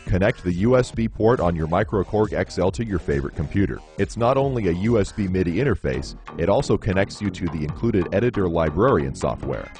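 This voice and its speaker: A low-pitched male narrator delivers scripted promotional copy with a polished, instructional delivery. Music plays prominently behind his voice. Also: darkish tone. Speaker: product demo narrator. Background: synthesizer music.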